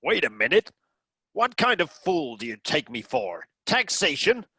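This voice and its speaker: A man playing a blustering, exclamatory cruise passenger in a radio comedy. His pitch swings about in indignation, while the pace stays measured and the sound is fairly dry. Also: sing-song, pushed and bright, breathy edge, no room sound, full character voice. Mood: indignant. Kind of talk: comic radio acting.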